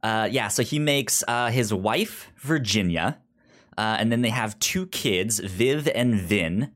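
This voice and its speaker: A man with a lowish voice talks casually as a podcast co-host. He speaks slowly and haltingly, often repeating the first sound of a word before getting it out. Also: lively intonation, fairly bright tone. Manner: halting, frequently stammers and repeats word starts. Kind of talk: casual comic book discussion.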